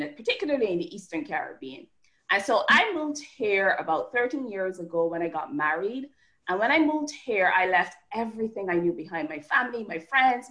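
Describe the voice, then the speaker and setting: A woman from Barbados with a Barbadian accent, a guest author and coach talking through an interview in animated, rhythmic runs of points. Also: on the low side. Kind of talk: podcast interview conversation.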